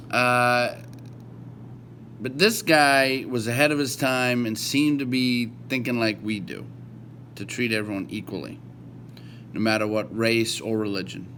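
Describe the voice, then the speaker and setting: Read-along host: American male, medium pitch, informal and a bit stumbling, light hum on the recording. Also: fairly bright tone. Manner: halting delivery, stumbling and correcting himself. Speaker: read-along host reading a history book aloud. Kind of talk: informal read-aloud with casual asides.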